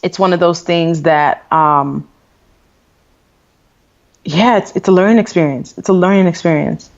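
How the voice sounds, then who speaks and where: A woman's voice: fairly low pitch, a little slow. A woman in her thirties, born in Nigeria, talking candidly as an interview guest with frequent fillers. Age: 30s. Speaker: guest author and blogger. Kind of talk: interview conversation. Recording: dull lo-fi sound.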